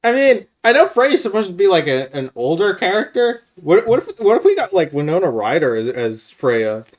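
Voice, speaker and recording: A man's voice: sits high, big pitch swings, normal speed. A man co-hosting a podcast in casual chat, talking in an informal, slangy way. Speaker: podcast host.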